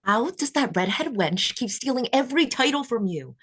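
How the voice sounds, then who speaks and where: A woman's voice: on the high side, lively intonation, normal speed, a bit bright, breathy edge. Woman as a jealous, vain young beauty queen. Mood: seething. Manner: seething, falsely sweet. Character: resentful, vain twenty-five-year-old beauty queen, murderously jealous. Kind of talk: comedy-horror script table read.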